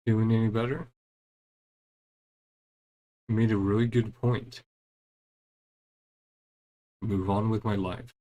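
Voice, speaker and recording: A man's voice: fairly low pitch, little pitch movement, takes it slowly, long pauses, warm tone, low-quality recording. A man giving casual commentary on a game as he plays, musing aloud and reading bits of dialogue. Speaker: gaming streamer.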